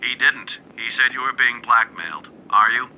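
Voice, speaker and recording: Shrewd, forceful American lawyer. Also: male voice, medium pitch, normal speed, dull audio, slight background noise. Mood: measured, probing. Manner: clipped, unflappable, questioning. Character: shrewd, cool male criminal defense lawyer. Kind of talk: radio drama acting.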